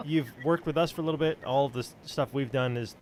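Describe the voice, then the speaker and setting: A man hosting a casual podcast, asking questions in a halting, joking, informal way. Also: mid-range voice, a little hiss or hum. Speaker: podcast host, writer. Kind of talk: casual chat.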